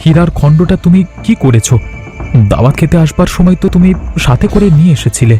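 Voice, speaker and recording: A man's voice: fairly high pitch, lively intonation, a little fast, warm tone, faint music behind, lightly acted. A man voicing an honest, exasperated young husband in a cartoon, pleading and reproachful. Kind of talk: cartoon voice acting.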